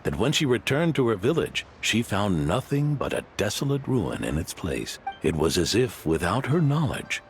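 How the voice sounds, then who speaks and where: A man's voice: lowish voice, sing-song, normal speed, few pauses, slight background noise. An actor playing a proud older male guest house owner in a video game, speaking in a theatrical, emphatic storytelling manner. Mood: earnest storytelling. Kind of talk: animated game dialogue, storytelling. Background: music.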